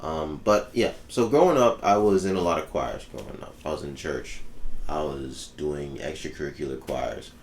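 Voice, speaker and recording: Young man, musician guest, very low gravelly voice, unhurried, echoey room with faint hum. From The Bahamas. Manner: laid-back, easygoing, frequent ums. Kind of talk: casual interview chat.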